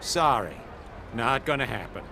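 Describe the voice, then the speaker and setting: A matter-of-fact male craftsman, with a bright, animated voice. He is apologetic, in game cutscene style, with faint ambient noise behind. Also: medium pitch, a little slow, full character voice. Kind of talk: video game cutscene acting.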